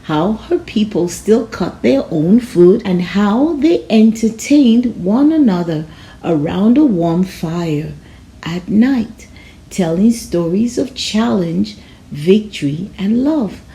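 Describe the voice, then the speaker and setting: A woman narrating a children's storybook aloud in a measured, expressive storytelling manner. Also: medium pitch, poor audio. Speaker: storybook reader.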